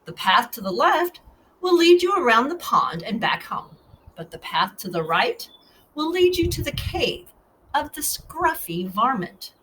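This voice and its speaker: A woman's voice: sits high, sing-song, a little slow, a bit bright, distant mic, a little hiss or hum, heavily acted, rough recording. A woman voicing a patient, cautioning rabbit parent who gives instructions insistently in a children's storybook. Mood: warning.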